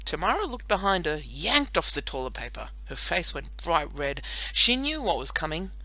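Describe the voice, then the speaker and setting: A woman narrating a children's story in an expressive, animated storytelling manner. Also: low-pitched, moderate pace, no echo, low-quality recording. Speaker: audiobook narrator.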